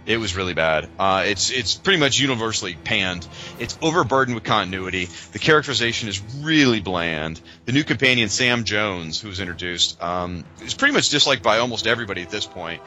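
A man co-hosting a podcast, chatting casually and informally like an animated fan. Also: on the low side, fairly quick, faint music behind, low-quality recording. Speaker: podcast co-host.

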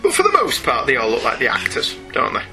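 A man's voice: medium pitch, wildly swooping pitch, fast talker. A man chatting casually as co-host of a comic-book review podcast, opinionated and wry. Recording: music behind the voice. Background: music.

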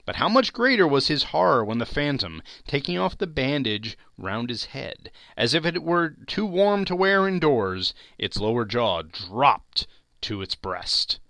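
A man narrates a classic tale in a theatrical, expressive audiobook style. His voice is bright and a little high, with lively swings of pitch. The sound is close and dry. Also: a little slow, gravelly, no room sound. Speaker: podcast narrator.

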